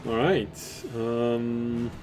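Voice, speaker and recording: A man narrating a tabletop game as game master, in a halting, deliberate way. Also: medium pitch, level tone, a little mellow, a little hiss or hum. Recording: music behind. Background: background music.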